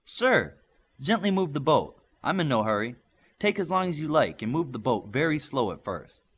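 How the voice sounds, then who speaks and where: A man's voice: fairly high pitch, big pitch swings, moderate pace, fairly bright tone, breathy edge, full character voice. A man voicing a young speedster boy in a superhero audiobook, encouraging, matter-of-fact and explanatory. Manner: reassuring, explanatory.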